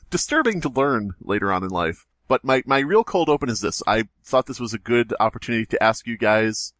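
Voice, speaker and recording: A man's voice: mid-range voice, fairly quick, no echo. A man hosting a podcast, telling stories in a casual, sarcastic, sweary comic chat. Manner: animated, sarcastic storytelling, profane. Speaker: podcast host.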